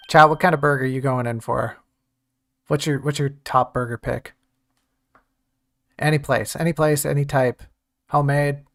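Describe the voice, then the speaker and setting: A game streamer talking loosely and jokingly to chat between plays. The voice has a gravelly edge at a middling pitch. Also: a bit bright. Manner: chatty, jokey, talks to chat. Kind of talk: casual let's-play chat.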